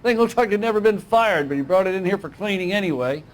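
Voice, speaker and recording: High-voiced folksy American shopkeeper. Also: male voice, lively intonation, fast, poor audio. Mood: chatty, matter-of-fact. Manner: folksy, chatty, informal. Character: folksy, obliging male gun store owner. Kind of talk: video game acting.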